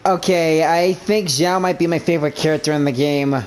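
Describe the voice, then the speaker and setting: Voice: mid-range voice, moderate pace, a little hiss or hum. A gamer giving casual commentary over a playthrough, sometimes reading dialogue aloud.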